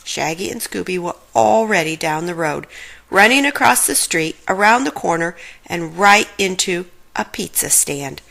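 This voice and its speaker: Animated woman reading a children's story. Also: on the low side, moderate pace, gravelly.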